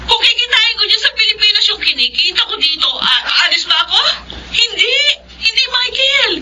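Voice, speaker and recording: A Filipino actress playing a self-sacrificing eldest sister in a radio drama, speaking with emotional, pleading emphasis. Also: female voice, very high-pitched, lively intonation, normal speed, raised voice, noisy background. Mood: anguished reproach. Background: dramatic music.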